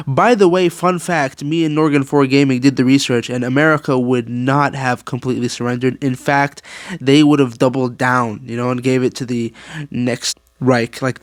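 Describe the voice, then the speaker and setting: Male gaming commentator, mid-pitched voice, casual and slangy, animated, opinionated, digressive, close dry recording. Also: no echo. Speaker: gaming video creator.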